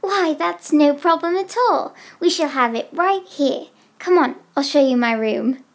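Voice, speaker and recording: A woman's voice: sits high, measured pace. A woman voicing a polite, old-fashioned girl hostess with formal, genteel speech in an amateur doll movie. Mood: welcoming. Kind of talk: amateur doll stop-motion voice acting.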